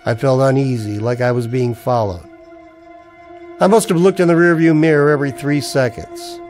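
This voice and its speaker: A man acting a hard-boiled but ordinary guy in a radio play, sardonic and wary. His voice sits at a middle pitch and talks colloquially, like a down-on-his-luck veteran telling his story. Music runs behind him. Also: fairly even intonation, moderate pace, a little mellow, close to an everyday voice. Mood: wry, uneasy. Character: broke, wary army-veteran husband narrating his noir misadventure. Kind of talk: old-time radio drama acting and first-person narration.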